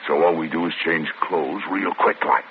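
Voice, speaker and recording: Real deep tough-talking convict, menacing, old tinny radio hiss. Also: male voice, normal speed. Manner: tough gravelly street talk, clipped threats. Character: hardened male convict, desperate and menacing. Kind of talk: 1940s radio acting.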